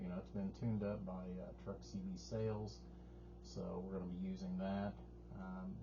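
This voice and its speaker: A man casually talking through an amplifier demonstration in a folksy, rambling, informal way, as a repair technician. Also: deep voice. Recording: muffled sound with clear background noise.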